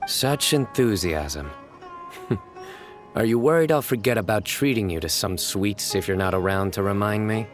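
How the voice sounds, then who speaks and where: An actor playing a composed young male investigator in a video game, measured and formal with dry humour. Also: on the low side, lively intonation, soft background music, lightly acted. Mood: calm, amused.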